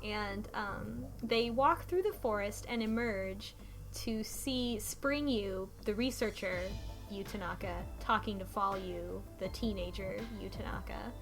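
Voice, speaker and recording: A woman's voice: mid-range voice, a little slow, soft background music. A woman co-hosting a podcast, casually retelling a story from notes in an informal, sweary, mock-dramatic way. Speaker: podcast co-host recapping a game.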